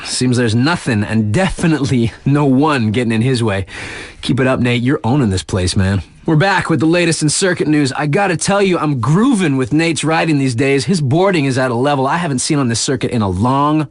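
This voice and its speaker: A man's voice: fairly high pitch, dry recording, studio quality. An actor playing an upbeat, cool male radio DJ in a video game, delivering news patter with chummy slang and hype for the athletes.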